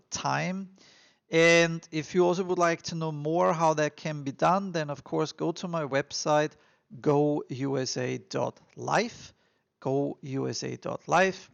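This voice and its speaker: A man's voice: a little high, gravelly. A man from Austria with an Austrian accent, giving earnest, encouraging advice in a vlog monologue, repeating himself with frequent fillers. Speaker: immigration vlogger. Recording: dull lo-fi recording.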